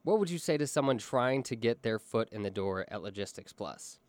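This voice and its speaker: A man interviewing employees, asking smooth prepared questions. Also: medium pitch, lively intonation, a little fast, darkish tone, no echo.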